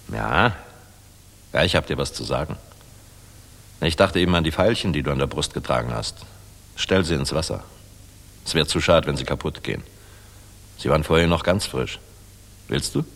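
An actor playing a dashing, world-weary middle-aged air force general in a 1960s German radio play, musing and commanding. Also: male voice, very deep voice, vocal fry, fairly bright tone, full character voice. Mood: tender, teasing. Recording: reverberant 1960s mono radio recording.

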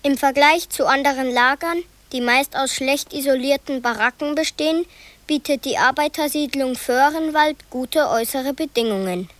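A woman reading even, informative documentary narration. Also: high voice, no room sound.

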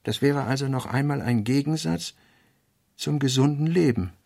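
A male actor in a literary radio play, voicing a brooding thinker who works through sketched notes in halting, pensive fragments with emphatic bursts. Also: mid-range voice, gravelly, a little mellow.

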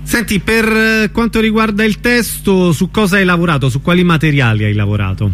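A man with a somewhat high voice conducts a radio interview. His delivery is conversational and hesitant, with murmured acknowledgements. There is slight noise on the recording. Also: fairly quick, fairly bright tone. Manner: hesitant questions, murmured backchannels.

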